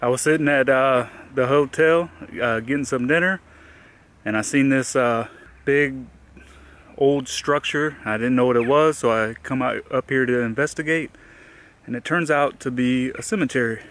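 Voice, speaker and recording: A man in his thirties filming a casual travel vlog with the camera held at arm's length, chatting in a relaxed way and easily impressed by what he sees. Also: medium pitch, normal speed. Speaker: travel vlogger. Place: outdoors on a country road, hotel and town. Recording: background music over the voice. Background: loud music.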